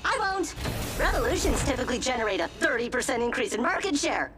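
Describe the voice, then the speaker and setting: A woman's voice: high voice, very animated, fast, over music. A woman voicing a naive, eager-to-please little corporate assistant robot in a video game, over-polite and literal, speaking in formal business jargon. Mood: chirpy, eager.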